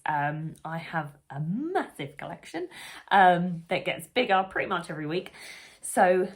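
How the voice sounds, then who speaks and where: A woman talking informally and conversationally as the host of a children's read-aloud channel. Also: lowish voice. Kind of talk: casual chat to camera for parents.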